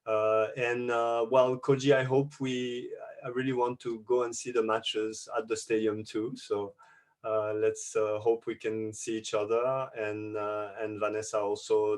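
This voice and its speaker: A man moderating an online webinar over a video call, talking in a halting way with many restarts and fillers. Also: mid-range voice. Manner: says a French thank-you.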